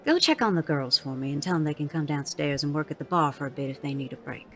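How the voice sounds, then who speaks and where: Tough frontier madam played by a woman, low-pitched, cutting and dry Western drawl, audio Western. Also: lively intonation, fast, fairly bright tone, soft background music. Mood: gruffly fond. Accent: American Western drawl. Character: tough, prickly, foul-mouthed Wild West saloon madam. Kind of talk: audio drama acting.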